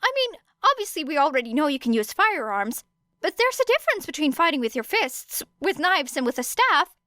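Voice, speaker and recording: An earnest, nerdy teenage boy played by a woman, high-pitched and hurried. He rambles through details with analytical curiosity, in a clean, dry audiobook sound. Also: sing-song, bright, forceful tone, no room sound, full character voice. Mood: curious, flustered.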